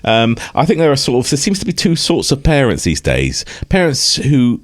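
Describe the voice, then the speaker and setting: A man's voice: medium pitch, huge pitch swings, moderate pace. A middle-aged British man co-hosting a podcast in casual nostalgic banter, sardonic and sweary, grumbling at his own expense. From United Kingdom. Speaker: podcast co-host.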